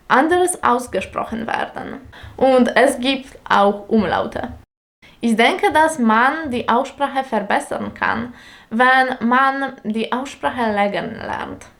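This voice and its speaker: A young woman whose first language is Polish explains German learning in careful, non-native German with a Polish accent, speaking deliberately as a teacher. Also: on the high side, gravelly, a bit bright. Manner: slow, careful, deliberate delivery with small grammar slips.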